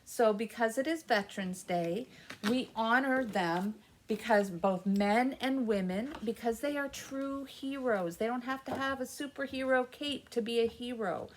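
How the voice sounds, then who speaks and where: A woman's voice: on the high side, unhurried, slight background noise, low-quality recording. An American woman hosting a library story time for children, reading and explaining things simply and patiently in short phrases.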